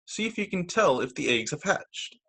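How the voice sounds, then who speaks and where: A man's voice: sits high, normal speed, creaky. A man reading picture books aloud to children as a teacher, expressively, with casual asides about the pictures. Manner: expressive, acts out quoted lines, comments on the pictures. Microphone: video call.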